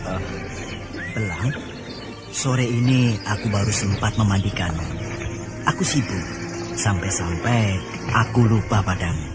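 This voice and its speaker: Man acting a low-voiced, musing young noble. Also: unhurried, pauses often, lightly acted. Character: handsome, gallant young nobleman talking to his horse. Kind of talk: Indonesian audio drama acting. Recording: loud music behind.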